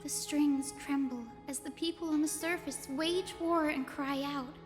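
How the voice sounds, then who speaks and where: Gentle wistful anime game girl, high voice, lyrical lines, music swelling behind. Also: fairly even intonation, measured pace. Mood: hopeful. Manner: lyrical, storytelling. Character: gentle, wistful young woman, poetic fantasy heroine. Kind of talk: dramatic fantasy game dialogue. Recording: long reverb, loud game music.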